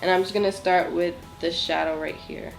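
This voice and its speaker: Young woman vlogger, lowish and nasal from a cold, chatty and apologetic, soft music bed. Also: fairly even intonation, normal speed, creaky, rough recording. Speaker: beauty vlogger. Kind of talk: casual makeup tutorial chat. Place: small home studio with plain wall.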